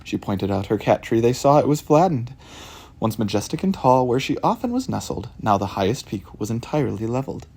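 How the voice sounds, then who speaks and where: A man reads his rhyming picture book aloud with a gravelly, husky voice and a warm, dark tone. His delivery rises and falls dramatically, bringing each line to life. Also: mid-range voice, fairly quick. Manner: animated, expressive storytelling. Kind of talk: rhyming storybook read-aloud.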